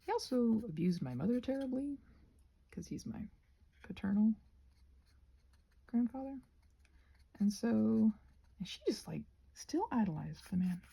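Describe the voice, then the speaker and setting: A woman chatting in a casual, rambling ASMR style, halting and self-interrupting, often slipping toward a whisper. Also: a little high, expressive, vocal fry, dark tone, husky, poor audio. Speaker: host of a craft ramble video.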